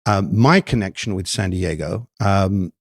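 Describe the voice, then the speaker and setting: An older man from the United Kingdom with an English accent, a podcast guest talking in a thoughtful, measured way with many ums and restarts. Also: low voice, creaky, dry recording, studio quality. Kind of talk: podcast interview.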